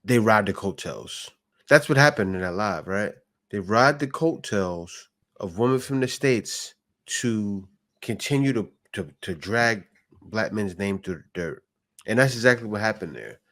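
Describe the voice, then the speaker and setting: A man's voice: lowish voice, lively intonation, measured pace, a bit bright. A man hosting a reaction video, giving blunt, argumentative commentary full of rhetorical questions.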